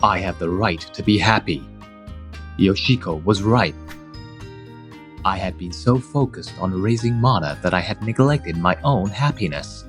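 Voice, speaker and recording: A man's voice: low voice, animated, a little slow, faint music behind. A man playing a devoted, conflicted guardian who narrates his own story in a manga dub. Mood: weary, reflective.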